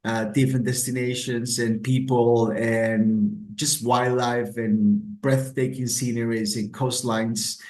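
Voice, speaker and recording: A man's voice: mid-range voice, level tone, takes it slowly, few pauses, a little mellow. Guest: middle-aged man, Costa Rican accent, hesitant, roomy reverb. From Costa Rica. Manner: hesitant, many ums and repeated words. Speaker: travel expert guest. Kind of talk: casual interview answers. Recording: roomy echo.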